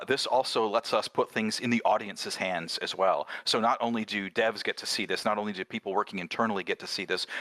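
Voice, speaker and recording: A man's voice: lowish voice, pushed and bright, dry recording. A man who creates tabletop games, chatting casually and explaining his ideas in a conversational way. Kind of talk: casual panel chat.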